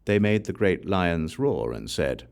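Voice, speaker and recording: A man reading scripture aloud as an audiobook narrator, solemn and formal, in measured phrases that fall away at the ends. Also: sits low, sing-song, vocal fry, darkish tone. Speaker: audiobook reader.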